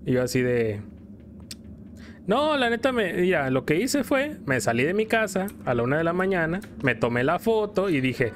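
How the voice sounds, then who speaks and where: A man's voice: fairly high pitch, very animated, moderate pace, slight background noise. A Mexican man streaming a game, rambling casually and playfully in slang as he tells stories and comments on play. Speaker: gaming streamer. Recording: music behind the voice. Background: video game music.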